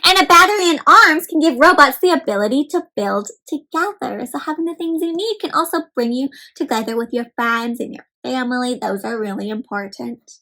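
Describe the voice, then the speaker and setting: A woman's voice: high-pitched, expressive, brisk, hardly any pauses, bright, forceful tone, poor audio. A woman hosting an interactive picture-book read aloud for children, talking directly to them and asking encouraging questions.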